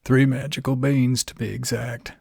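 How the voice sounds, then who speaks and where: A man's voice: medium pitch, flat delivery, moderate pace, creaky, darkish tone, husky, no room sound, clean studio sound, pitched above the performer's own voice. A man voicing a funny little old trader from a bedtime storybook, chirpy and persuasive. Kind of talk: storybook character in bedtime audio.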